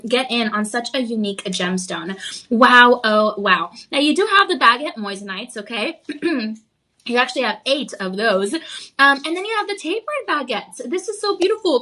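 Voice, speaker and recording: A woman hosting a live home shopping show, bubbly and gushing, her voice slightly raspy from a cough. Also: high voice, bright, forceful tone, rough recording. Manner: bubbly and effusive, gushing over the product. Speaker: shopping channel host.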